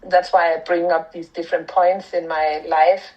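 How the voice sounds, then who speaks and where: A middle-aged woman with a Danish accent talks about her work in a reflective way, often pausing on fillers and restarting her sentences. Her voice sits fairly low. The recording is a little dull and lo-fi. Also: flat delivery. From Denmark. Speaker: author and anthropologist. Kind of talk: thoughtful interview answers.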